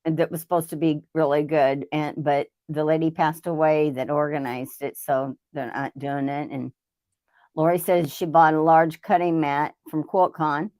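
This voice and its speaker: A woman's voice: low voice, flat delivery, moderate pace, a little mellow, dry recording. A middle-aged woman from Ohio, a guest in a casual chat. She talks in a folksy, chatty way. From Ohio, United States. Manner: folksy, chatty, laughs easily.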